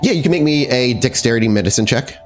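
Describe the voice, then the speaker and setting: A man's voice: mid-range voice, lively intonation, fast, soft background music. A man working as storyteller of a tabletop role-playing game, improvising narration and explaining the rules descriptively.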